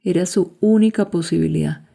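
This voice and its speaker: A woman's voice: fairly low pitch, normal speed, vocal fry, dark tone. A woman, narrating a scripted story for a podcast.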